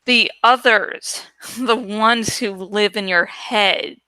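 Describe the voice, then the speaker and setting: A woman's voice: mid-range voice, steady tone, takes it slowly, pushed and bright, smooth, no room sound, pitched below the performer's own voice, low-quality recording. A woman voicing a crazed, lost old woman who hoards things in a shack, in an audiobook reading. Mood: eerie, suspicious. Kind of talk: audiobook character acting.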